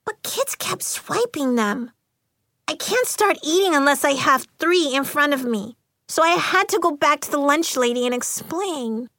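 A woman voicing a shy, sad, earnest schoolgirl in an audiobook, soft-spoken and halting as she repeats her words. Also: high voice, animated, dry recording, pitched above the performer's own voice, heavily acted.

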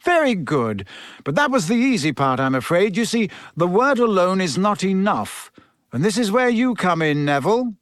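A man's voice: sits high, big pitch swings, moderate pace, no echo, clean studio sound, voice pushed up. Man playing a kindly, lilting schoolteacher. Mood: encouraging. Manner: patient, cheerful instructing. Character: kindly male schoolteacher, encouraging, calm, gently amused. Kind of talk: audiobook character voice.

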